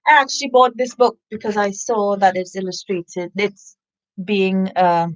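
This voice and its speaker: A woman's voice: medium pitch, steady tone, measured pace, low-quality recording. A woman from Trinidad doing a children's story time, reading expressively and talking casually straight to her young listeners.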